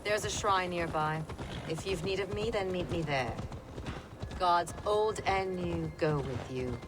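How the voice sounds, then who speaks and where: An actress plays a grave pagan witch guiding a traveler in a game drama. Her voice is low and solemn, with deliberate, knowing phrasing. Hoofbeats and outdoor sounds are clear behind her. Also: background noise, close to an everyday voice. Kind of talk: video game drama acting. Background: horse hooves and outdoor ambience.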